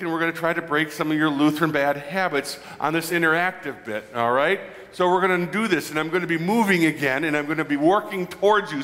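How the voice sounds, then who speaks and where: A man in his forties preaching a conversational sermon as a pastor, animated and joking with his congregation. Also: fairly high pitch. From Midwestern United States. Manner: animated, gestures broadly, jokes with the congregation. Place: large church sanctuary. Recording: long church reverb.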